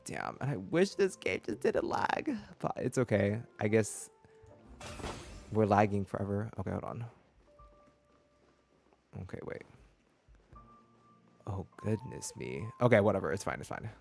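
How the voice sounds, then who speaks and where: A man's voice: fairly low pitch, very animated, measured pace, creaky, pauses often, a little mellow. A man in his twenties chatting casually as a game streamer, rambling and cutting himself off, close on a desk mic. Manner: rambling, self-interrupting. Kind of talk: casual livestream chat. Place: small room indoors. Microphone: desk mic close to the mouth. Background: game music.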